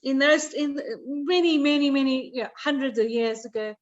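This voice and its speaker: A woman's voice: high voice, normal speed. A middle-aged woman, chatting casually and jovially as a guest cooking coach. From Zambia, later Australia. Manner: jovial, often chuckles. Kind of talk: casual chat, personal storytelling. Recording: dull lo-fi video call audio.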